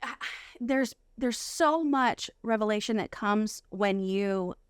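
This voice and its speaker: An American woman speaks as a guest in a casual interview. Her voice is smooth and clear at a middle pitch, and the sound is close and dry. She talks earnestly and repeats phrases for emphasis. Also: slow, no room sound. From United States. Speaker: podcast guest. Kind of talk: casual personal interview about faith.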